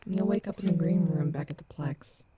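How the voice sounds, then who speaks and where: A woman's voice: lowish voice, brisk, warm tone, slight background noise, natural voice. A woman playing a sardonic but protective animatronic wolf rock star in a fan audio roleplay, blunt and affectionate. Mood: tender, soothing. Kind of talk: fan audio roleplay, soft intimate acting.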